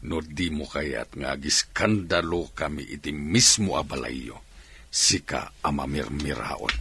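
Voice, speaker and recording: An actor with an Ilocano accent playing a domineering, angry middle-aged father in a radio drama, forceful and scolding. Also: a bass voice, measured pace, vocal fry, slightly rough, exaggerated voice. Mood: stern, threatening. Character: domineering, angry father forcing his daughter to marry.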